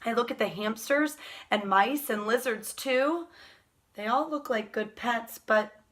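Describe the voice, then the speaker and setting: Bright, unhurried woman reading to children. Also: on the high side. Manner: expressive, drawn-out, asks listeners questions. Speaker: storyteller reading a picture book to children. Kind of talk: children's storytime read-aloud.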